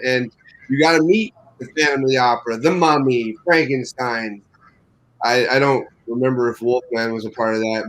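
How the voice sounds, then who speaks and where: A man's voice: on the high side, a bit bright, rough recording. A man in his late thirties, a podcast panelist, chatting casually and nostalgically. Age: 30s. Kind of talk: casual chat.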